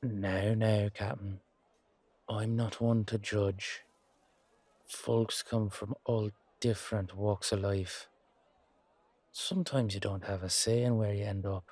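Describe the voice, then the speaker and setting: A man playing a weary, down-to-earth knight guardsman in a fantasy audio drama, musing colloquially to his partner with hesitant pauses. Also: lowish voice, fairly even intonation, darkish tone, no echo. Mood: reflective, easygoing. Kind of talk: roleplay audio drama monologue addressed to a partner.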